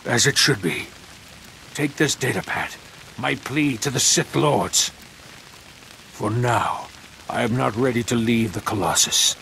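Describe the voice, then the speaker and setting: An actor playing a fanatical, bloodthirsty slave cult leader in a video game, speaking in a grandiose, reverent way. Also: male voice, mid-range voice, expressive, measured pace, vocal fry, long pauses, pushed and bright, slight background noise, exaggerated voice, low-quality recording. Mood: fervent, proud. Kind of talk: video game villain acting.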